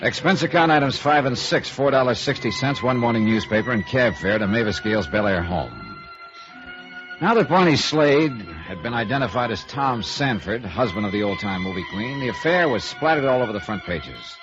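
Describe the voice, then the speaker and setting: An actor playing a hard-boiled, dry, probing American insurance investigator in 1950s radio noir, clipped and wry. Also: male voice, mid-range voice, big pitch swings, normal speed, close to an everyday voice. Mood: dry, matter-of-fact. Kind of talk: 1950s radio noir acting with first-person narration. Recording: dull vintage radio recording with music.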